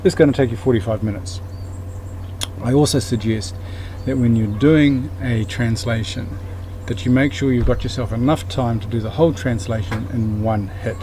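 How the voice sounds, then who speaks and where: A man calmly talking viewers through a software tutorial step by step, pausing now and then with an "uh" or "um". His voice sits at an ordinary middle pitch, and a faint hum of background noise runs under the recording. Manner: patient step-by-step instruction with occasional fillers. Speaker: tutorial presenter.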